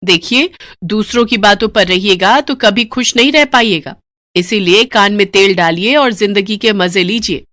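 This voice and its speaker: A woman voicing a sharp-tongued, defensive wife in a cartoon story, brazen and sarcastic with dramatic retorts. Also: medium pitch, normal speed, dry recording, studio quality, natural voice. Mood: mocking, defiant. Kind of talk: Hindi cartoon story dialogue.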